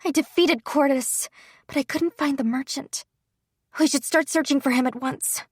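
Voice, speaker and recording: Grieving young noble commander, high and tearful, woman narrator voicing her, dry close sound. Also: normal speed, no echo, natural voice. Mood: somber.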